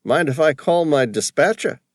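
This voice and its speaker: A man's voice: fairly high pitch, animated, moderate pace, dry recording, studio quality, pitched above the performer's own voice. A man voicing a chatty middle-aged male cab driver with a broad East Coast accent. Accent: broad East Coast American. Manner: chatty, folksy.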